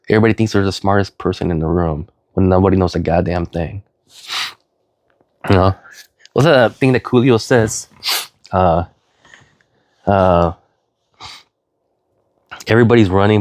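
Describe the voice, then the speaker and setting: A middle-aged man with a sniffly cold, talking in a rambling, earnest vlog monologue, full of fillers and "you know", now and then jumping into loud high emphasis. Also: no room sound. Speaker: vlogger.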